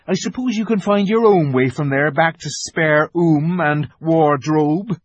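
Male reader playing a timid weeping faun: high, husky, drawn-out and anxious. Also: no room sound. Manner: fretful, pleading, slow and drawn out.

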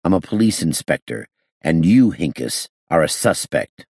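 A man's voice: low voice, sing-song, moderate pace, darkish tone, husky, dry recording, clean studio sound, heavily acted. A man voicing a stern, hard-boiled police inspector in an audiobook, commanding as he interrogates. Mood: authoritative.

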